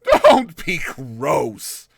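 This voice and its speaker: A man voicing an excitable, boastful male university student in love, gushing and exclaiming in a storybook reading. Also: on the high side, very slow, vocal fry, dry recording, studio quality, full character voice. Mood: exuberant, proud.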